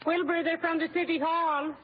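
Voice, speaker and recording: Anxious, devoted wife, high voice. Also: female voice, flat delivery, normal speed. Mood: distressed. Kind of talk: 1940s radio drama acting. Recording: dull, noisy old radio recording.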